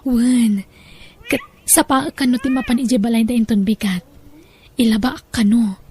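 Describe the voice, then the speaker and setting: Woman acting as a high-voiced, plaintive Ilocano wife. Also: unhurried, a little mellow, a little hiss or hum, close to an everyday voice. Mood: chatty. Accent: Filipino, Ilocano. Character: worried hard-up village wife and devoted daughter. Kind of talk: audio drama acting. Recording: music under the voice.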